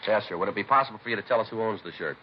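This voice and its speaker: A man's voice: lowish voice, racing, a little hiss or hum, natural voice. An American man playing a terse, businesslike police detective in 1950s radio crime drama, speaking in a clipped, matter-of-fact, formally polite way. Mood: politely probing. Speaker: detective narrator. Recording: muffled vintage radio recording with music.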